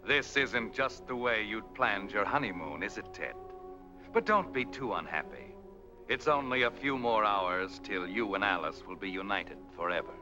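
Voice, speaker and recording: An actor playing an ominous, knowing male narrator with measured delivery, in an old 1940s mystery film. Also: lowish voice, expressive, close to an everyday voice. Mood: ominous, mocking. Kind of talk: 1940s radio-style sinister narration. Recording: old film soundtrack, prominent music.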